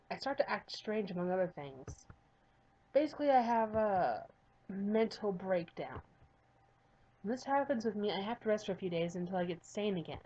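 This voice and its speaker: A woman voicing a weary, burned-out male game developer who speaks in a subdued, halting way. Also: medium pitch, dark tone, lo-fi sound, close to an everyday voice.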